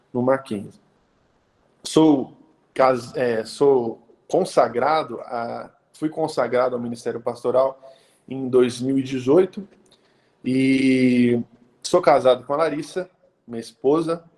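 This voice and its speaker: A man's voice: medium pitch, fairly even intonation, measured pace, a little mellow. A man in his thirties from the of São state from that region, giving a casual live-stream talk as a pastor. He speaks conversationally, with many hesitation fillers and tag questions. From Brazil, interior of São Paulo state. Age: 30s.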